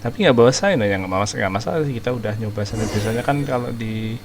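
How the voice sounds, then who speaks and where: A man's voice: fairly low pitch, rapid-fire, noisy background. A man with an Indonesian accent giving casual let's-play commentary as a gaming streamer. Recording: commentary over loud game music.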